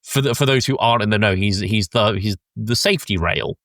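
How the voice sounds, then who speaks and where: Lowish-voiced man in casual podcast chat. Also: fairly quick, fairly bright tone, no echo, clean studio sound. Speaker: podcast co-host. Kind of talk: casual film recap and opinion chat.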